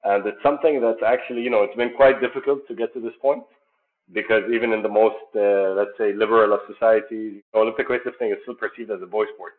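A man from Jordan, founder of a sports program, answering interview questions in an earnest, measured way with many fillers. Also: fairly low pitch, steady tone. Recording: tinny phone-like line.

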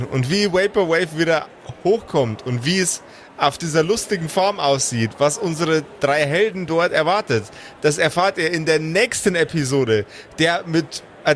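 Male podcast host and game master. Higher-pitched, very animated, unhurried, dry close sound, jokey asides. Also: slight background noise. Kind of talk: casual podcast narration and credits read-out.

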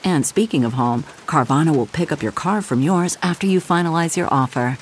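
A man's voice: on the high side, a little fast, a little hiss or hum. A man reading scripted advertisement narration as an announcer.

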